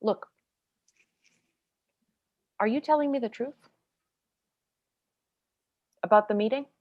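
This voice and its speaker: A woman's voice: on the high side, slow, highs cut off. A woman acting an exasperated, combative military defense lawyer in a stage play read-through, pressing hard with sarcastic questioning.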